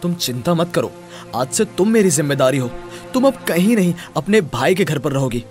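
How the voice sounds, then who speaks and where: A man's voice: a little high, big pitch swings, normal speed, soft background music. A man voicing an honest, protective brother with an earnest, reassuring manner, in a moral story.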